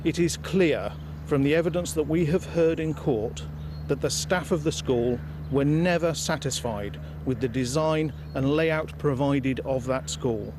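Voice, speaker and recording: A man delivers a formal prepared statement as a solicitor. His pitch is a little high, and clear street noise and vehicle hum sit behind him. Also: unhurried. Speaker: family's solicitor. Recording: noisy outdoor recording with hum.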